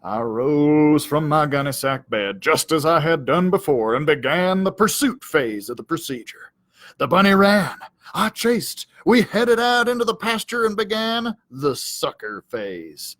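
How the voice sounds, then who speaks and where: A man's voice: fairly high pitch, sing-song, unhurried, fairly bright tone, dry recording. A man voicing a self-important, aggrieved cowdog who tells his storybook tale in a pompous, melodramatic, wordy way.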